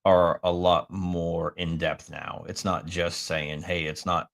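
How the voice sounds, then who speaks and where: A man's voice: sits low, flat delivery, takes it slowly, gravelly, fairly bright tone. A man co-hosting a podcast, chatting emphatically and often ending his points with "right?". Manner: emphatic, filler-heavy, ends points with "right?". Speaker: podcast co-host. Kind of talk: casual chat.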